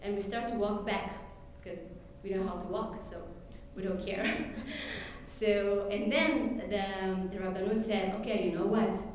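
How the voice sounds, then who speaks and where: A woman's voice: medium pitch, fairly even intonation, slight background noise. Echoey distant woman telling her story. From Ethiopia. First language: Amharic. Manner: halting, many fillers and restarts. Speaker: speaker sharing her story. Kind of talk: personal testimony speech. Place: event hall. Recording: very echoey room, distant mic.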